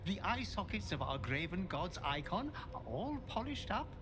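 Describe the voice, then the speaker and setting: Game role: creepy servile ghoul butler, very high swooping delivery, gleefully macabre, noisy ambience behind. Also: male voice, very animated, moderate pace. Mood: gleeful fawning. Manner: obsequious, theatrical, gleefully macabre. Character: fawning, ghoulish goblin-like butler to a murder cult. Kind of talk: video game character acting.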